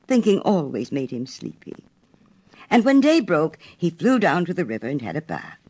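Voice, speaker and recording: A woman narrating a storybook fairy tale in an expressive reading style. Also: low voice, moderate pace, husky.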